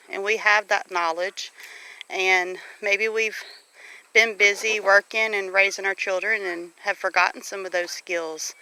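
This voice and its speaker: A woman's voice: mid-range voice, sharp tone, clean tone, rough recording. A middle-aged woman chatting casually as a homesteading vlogger, earnest, folksy and encouraging in the way she talks. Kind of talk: casual chat to camera about homesteading.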